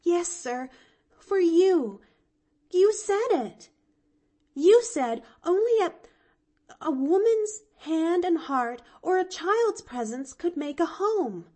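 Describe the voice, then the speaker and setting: An eager little girl pleads in a high, lifted voice that stumbles over its words, as a woman reader puts her on. The delivery is unhurried, and the tone stays soft and mellow. It sounds like a storybook read aloud. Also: very animated, exaggerated voice. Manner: stammering, eager, high and bright.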